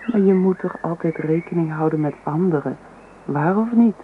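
A woman's voice: fairly low pitch, moderate pace, lightly acted. A woman playing a bashful, earnest young girlfriend in a Dutch radio drama, hesitant and slightly prim. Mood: hesitant, questioning. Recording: muffled radio recording, buzzy noise.

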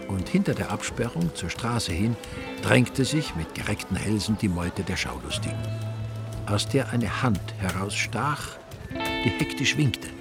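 An actor as the older storyteller of a radio crime comedy, narrating in a measured, wry way. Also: male voice, on the low side, gravelly, exaggerated voice. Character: wry male storyteller of a comic crime novel. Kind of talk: scripted radio drama narration.

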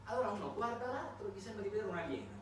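A man narrates a satirical stage monologue. His delivery is conversational and dramatic, with animated pitch and shifting volume. The recording is very reverberant and distant, with clear background noise. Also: rapid-fire. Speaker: solo theatre performer and narrator. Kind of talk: theatrical monologue, satirical storytelling.

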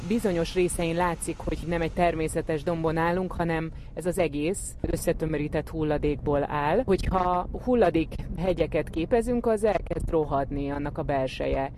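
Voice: medium pitch, few pauses, darkish tone. A reporter describing the surroundings and asking questions on location outdoors for a radio programme. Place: outdoors on a grassy landfill hill. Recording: noisy outdoor field recording.

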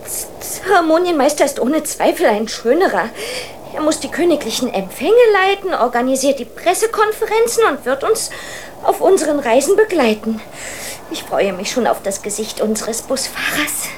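A woman's voice: high voice, lively intonation, normal speed, slight background noise. A woman playing an imperious royal child in a children's radio play, grandly formal and decisive, stumbling on the odd word. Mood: insistent, grand. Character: imperious royal child, princess of a hard-up family.

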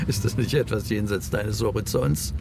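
Stern, gravelly older father. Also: on the low side, slow pace. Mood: dismissive. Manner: curt, dismissive, condescending. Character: cold, stern state prosecutor, estranged father. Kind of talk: German radio crime drama acting. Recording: clear background noise, car ambience.

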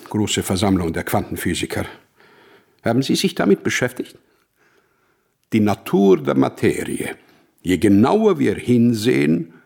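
An actor playing a brilliant, self-important, melancholic mathematician in a radio drama, with a husky voice and a pompous, wry, deliberate manner. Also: male voice, lowish voice, a little mellow, full character voice. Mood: brisk, musing.

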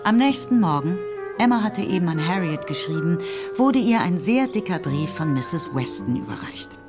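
A woman with standard German diction narrating a literary radio play in a measured, articulate storytelling manner. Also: lowish voice, animated, lightly acted. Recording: music behind the voice. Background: music.

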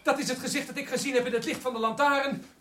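A man's voice: very high voice, fairly even intonation, fast talker, bright, forceful tone. A man acting in a radio drama as a desperate condemned prisoner, frantic and pleading. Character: desperate condemned male prisoner protesting his innocence. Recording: echoey, noisy old radio recording.

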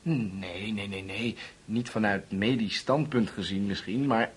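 A man playing a polite, lonely young orphan guest in a Dutch radio play, speaking haltingly and apologetically. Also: lowish voice, breathy edge. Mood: earnest, regretful. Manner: halting, apologetic, with self-corrections.

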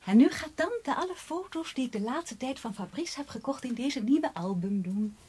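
An actress in a Dutch radio drama playing a dreamy, infatuated young aunt who confides in breathy half-whispers to a small child. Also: female voice, sits high, animated, moderate pace, warm tone, a little hiss or hum. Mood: dreamy, confiding.

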